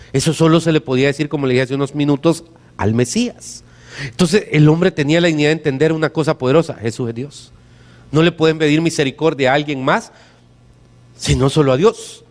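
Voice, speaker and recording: Male Salvadoran preacher, higher-than-average voice, colloquial and emphatic sermon delivery, big-room reverb. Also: fairly quick. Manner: colloquial, emphatic, with rhetorical questions. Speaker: pastor preaching to a congregation. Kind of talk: conversational sermon with anecdotes. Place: church hall during a service. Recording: reverberant hall sound.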